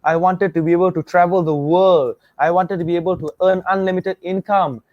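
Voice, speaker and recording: A man's voice: high-pitched, brisk, no room sound, muffled recording. Upbeat Singaporean man, dry close sound. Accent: Singaporean English. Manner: energetic, repeats himself, asks the audience to type in replies. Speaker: webinar host. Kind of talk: motivational webinar pitch.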